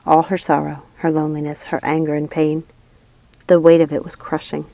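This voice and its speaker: A woman reads a fantasy tale aloud in a fairly low voice. The sound is dry, with very little room in it. It is scripted storybook narration. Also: completely flat delivery, a little fast, gravelly, warm tone, no room sound. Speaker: audiobook reader.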